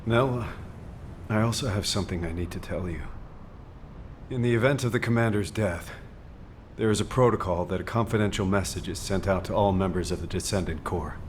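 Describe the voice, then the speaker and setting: A man playing a respectful, earnest military officer in a video game, grave and gentle in delivery. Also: low-pitched, brisk, slight background noise, close to an everyday voice. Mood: solemn, careful. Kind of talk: video game story voice acting.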